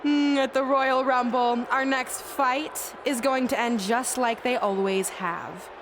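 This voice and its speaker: A woman's voice: sits high, steady tone, slow, noisy background. A woman playing a cocky, taunting wrestling star in a video game, boastful and mocking. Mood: boastful, threatening. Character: cocky, taunting female wrestling star and self-styled hero.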